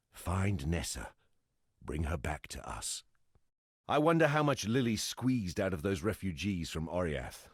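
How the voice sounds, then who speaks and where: An actor playing a gruff, weary ex-smuggler in a video game, blunt and earthy in his speech, with a gravelly, husky voice. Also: deep voice, no echo, clean studio sound, full character voice. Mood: troubled, urgent, then cynical. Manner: blunt, earthy, salty-tongued. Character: gruff, weary, plain-spoken exiled former smuggler, adult man. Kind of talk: scripted video game character dialogue.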